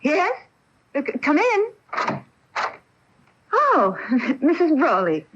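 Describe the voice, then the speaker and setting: A woman's voice: high-pitched, huge pitch swings, very slow, noisy background, exaggerated voice. An actress playing an earnest, impressionable, easily flustered young woman in a radio mystery, hesitant and breaking off phrases. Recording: dull off-air AM radio recording.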